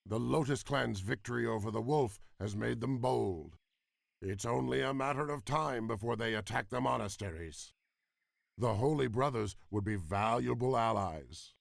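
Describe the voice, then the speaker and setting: A man playing a gruff, blunt, battle-eager warrior lieutenant in a video game cutscene. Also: medium pitch, normal speed, dry recording, studio quality. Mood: suspicious, advising.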